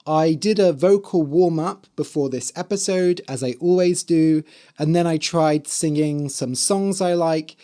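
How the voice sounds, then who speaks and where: English teacher on a podcast, talks real slow and careful, voice kinda dry and hoarse. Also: mid-range voice, dark tone. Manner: careful enunciation, spelling words out letter by letter. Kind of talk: slow, clear explanation for language learners.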